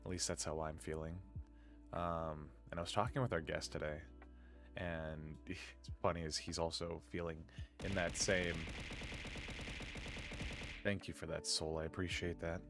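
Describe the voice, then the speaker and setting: A man hosting a live stream in casual chat, groggy from just waking up and rambling in a low-key way. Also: level tone, a little slow, pauses often, a bit bright, soft background music. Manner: rambling and low-key, with many filler words. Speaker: streamer and podcast host.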